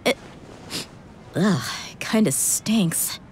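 A woman's voice: medium pitch, expressive, drawn-out words, darkish tone, whispered. An actress playing a tough young female mercenary in a video game, blunt, casual and grumbling. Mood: unimpressed, grumbling. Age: young adult. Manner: blunt, exasperated, sighing.

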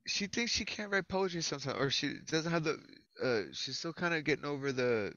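A man's voice: a little high, expressive, a little fast, sharp tone, no echo. An American man in his thirties hosting an open mic over a video call, chatting casually and effusively with plenty of slang and swearing. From Florida, United States. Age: 30s. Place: indoors at a computer, video call. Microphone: headset. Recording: dull, lo-fi video call audio.

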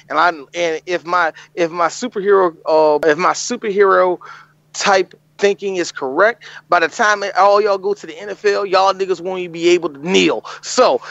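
A man's voice: sits high, fairly bright tone, no echo, muffled recording. A man co-hosting a movie podcast, joking loosely and slipping into mocking impressions. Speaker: podcast co-host. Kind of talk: casual comedic movie-review chat.

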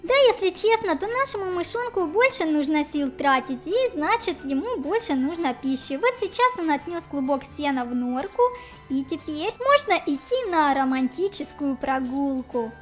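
A children's gaming channel host giving let's play commentary and shout-outs in a cutesy, presentational way. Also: high voice, big pitch swings, normal speed. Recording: music bed under the voice. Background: background music.

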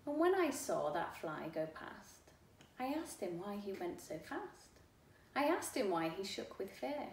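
A middle-aged woman reading a children's book aloud in an expressive, deliberate, rhythmic way. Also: mid-range voice, recorded from a distance. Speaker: storyteller. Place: living room at home. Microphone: camera a few feet away. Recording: echoey room, faint background noise.

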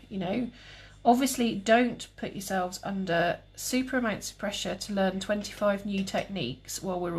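Calm tutor, young woman, breathy, easygoing live demo talk. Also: medium pitch. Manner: calm, relaxed, chatty with viewers. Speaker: cake decorating tutor. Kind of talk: live demonstration with chat.

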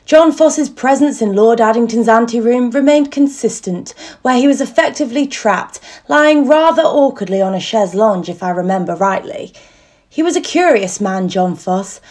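A woman's voice: fairly high pitch, expressive, normal speed, a little mellow, close to an everyday voice. A woman playing a wry, reflective narrator recalling a country house weekend in a radio play. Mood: wry recollection.

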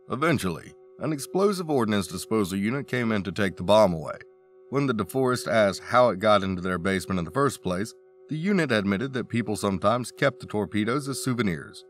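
A man reading a scripted countdown narration, dropping wry asides between the facts. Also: fairly low pitch.

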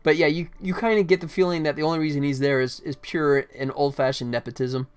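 A man's voice: a little high, fairly even intonation, fast talker, clear, resonant tone. A man in his forties giving casual live-stream commentary as he works at game development. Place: small indoor room at a computer. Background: faint music, keyboard typing.

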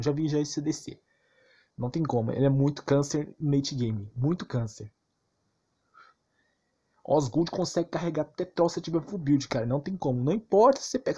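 A man's voice: fairly high pitch, dark tone, slightly rough. A man with a Brazilian accent giving informal game commentary, arguing insistently and repeating himself with plenty of slang. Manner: argumentative, insistent, repetitive, slangy. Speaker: gaming YouTuber.

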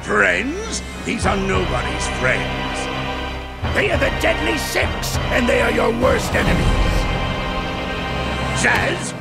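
A pompous mad scientist villain in a video game cutscene, with a gravelly, swooping voice that rises high as he gloats over his schemes. He talks slowly and theatrically, over loud music. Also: huge pitch swings, exaggerated voice. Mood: boastful.

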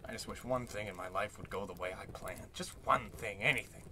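A man playing a wistful, excitable old tramp in a radio comedy, folksy, theatrical and rambling. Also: lowish voice, fairly quick, background noise, close to an everyday voice. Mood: dejected. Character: down-on-his-luck old tramp, failed vaudevillian, wistful and excitable. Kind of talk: old-time radio comedy play acting.

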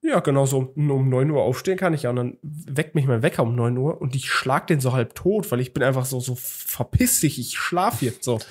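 A man's voice: medium pitch, brisk, few pauses, darkish tone, breathy edge. Rambling young German man chatting. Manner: rambling storytelling with many fillers and restarts. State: hungover. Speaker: podcast co-host. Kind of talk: casual chat.

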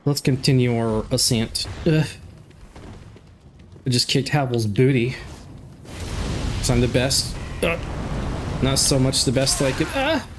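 A young man with a stuffed-up nose giving casual, jokey commentary while playing a game, breaking into excited outbursts. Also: medium pitch, noisy background. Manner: chatty, jokey, excitable outbursts during fights. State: stuffed up from a cold. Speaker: gameplay commentator. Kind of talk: casual let's play commentary. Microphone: desk microphone.

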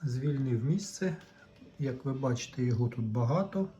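A man with a mid-pitched voice explains hands-on repair work step by step. He speaks casually and unscripted, addressing his viewers directly. A faint layer of noise sits behind him. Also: expressive, normal speed, dark tone. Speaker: electronics repair hobbyist presenting his own video.

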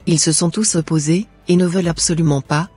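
A man plays an earnest young woman in a cartoon. The voice is raised in pitch, dark and husky, speaking in a measured way over music. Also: steady tone. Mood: earnest, subdued. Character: earnest young woman, obedient yet devoted to her love. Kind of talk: scripted cartoon dialogue.

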